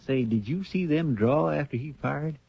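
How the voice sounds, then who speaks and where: Casting: a plain-spoken earnest sidekick, fairly high voice, dull radio sound. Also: male voice, expressive, moderate pace, creaky, husky, no echo, full character voice. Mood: somber. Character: earnest loyal deputy sidekick. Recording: muffled 1950s radio broadcast.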